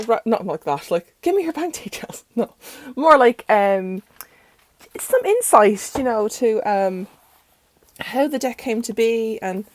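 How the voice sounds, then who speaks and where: A young Irish woman talks through a review in a loose, rambling way, her voice croaky as she gets over being sick. Her voice sits a little high for a woman, and the sound is close and dry with no room echo. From Ireland. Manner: chatty and rambling, self-interrupting. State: croaky, recovering from illness. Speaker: tarot channel host. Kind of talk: casual deck review. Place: bedroom at home.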